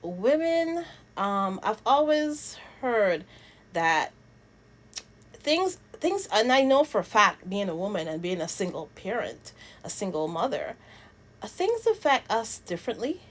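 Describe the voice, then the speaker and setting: A woman from Barbados presents casual commentary as a channel host. She speaks at a middling pitch in a halting manner, restarting phrases and repeating words as she makes her points or reads aloud. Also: sing-song. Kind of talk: casual vlog commentary, reading statements aloud.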